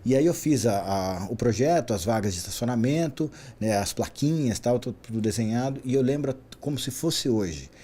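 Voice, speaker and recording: A Brazilian man in his forties, a guest in a podcast interview, telling his career story in an animated way, often tagging his sentences with a quick question. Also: medium pitch. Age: 40s. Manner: animated, frequent "né?" tags. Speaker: guest, traffic safety specialist.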